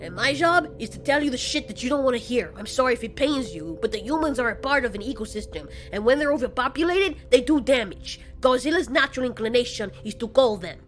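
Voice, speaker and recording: A woman's voice: a little high, expressive, quick pace, few pauses, close to an everyday voice. A woman voicing a cold male fire demon kaiju in an audio story, blunt, sardonic and slangy. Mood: forceful, cold.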